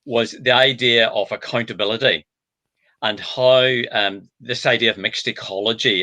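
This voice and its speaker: Bright-voiced Irish man, hesitant. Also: mid-range voice. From Ireland. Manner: thoughtful, explains with examples, many hesitations. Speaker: book author. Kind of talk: casual discussion.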